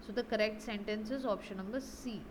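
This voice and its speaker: A woman's voice: mid-range voice, brisk. Woman teacher, noticeable background noise. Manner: didactic, often ends points with a checking tag question. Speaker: English grammar teacher. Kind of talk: explaining grammar exercise answers.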